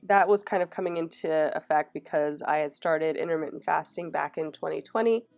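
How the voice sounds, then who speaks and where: Woman, young adult, very low voice, scratchy throat from a cold, chatty planner narration, fillers and restarts. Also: a little fast, vocal fry, darkish tone, soft background music. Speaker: planner vlogger. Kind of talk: casual planner flip-through narration.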